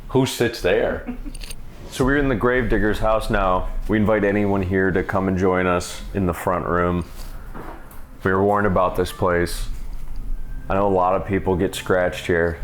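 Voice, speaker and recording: A man's voice: low-pitched, a little hiss or hum. A man investigating an old building at night, joking and calling out to spirits. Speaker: paranormal investigator. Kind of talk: ghost hunting show narration.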